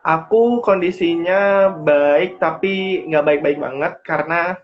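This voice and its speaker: A man's voice: fairly high pitch, slow pace. Stuffy-nosed man on a dull video call. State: stuffy nose. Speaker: guest speaker. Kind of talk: casual chat on a video call.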